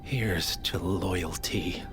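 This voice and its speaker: An actor playing a tense secretary in a video game, speaking in a hushed, strained way. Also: deep voice, extremely slow, loud music behind the voice. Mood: strained, defiant. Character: slow, tense secretary defending own loyalty.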